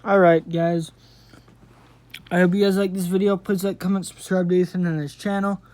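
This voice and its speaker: A man's voice: high-pitched, flat delivery, moderate pace, a little mellow. A man talks to the viewers of his video channel in a casual, informal style. His delivery meanders from thought to thought with an apologetic manner. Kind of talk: casual, rambling vlog talk to viewers.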